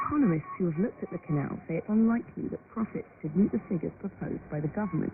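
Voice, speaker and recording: A woman correspondent narrates a scripted news report. Her voice comes through a very thin shortwave radio band with clear static around it. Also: mid-range voice, phone-quality sound.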